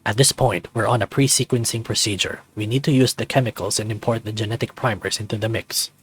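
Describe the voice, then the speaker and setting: A man's voice: lowish voice, steady tone, fast talker. A man casually reading a visual novel aloud and commenting on it as he plays. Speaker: let's player of a visual novel. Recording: music playing behind close dry voice.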